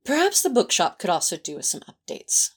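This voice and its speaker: A woman's voice: medium pitch, huge pitch swings, a little fast, breathy edge. A woman playing a prim, fussy but tender male angel, speaking politely and precisely in a fan audio drama. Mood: tentative, fond.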